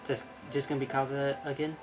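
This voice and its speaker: A man giving casual, halting live commentary as he streams a video game, often repeating his words. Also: medium pitch, completely flat delivery, over music.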